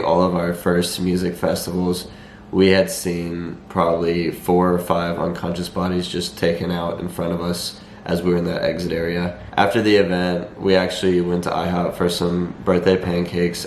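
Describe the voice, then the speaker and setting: A young man with a slightly raspy voice and a cold, explaining things to viewers in a measured, matter-of-fact vlog style. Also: deep voice. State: has a cold. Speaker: vlogger. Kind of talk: talking to camera, explanatory vlog. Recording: echoey room, faint background noise.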